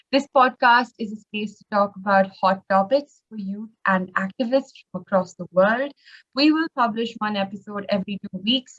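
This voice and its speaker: A woman hosting a podcast, speaking politely and in a measured way. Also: mid-range voice, fairly bright tone, dull audio. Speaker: podcast host.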